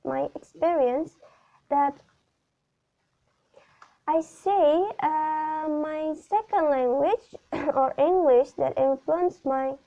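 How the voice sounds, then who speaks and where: A young Indonesian woman with an Indonesian accent, speaking English haltingly in a class video, pausing often with fillers. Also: high voice, dark tone. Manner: hesitant, many pauses and fillers. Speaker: student. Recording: dull lo-fi recording.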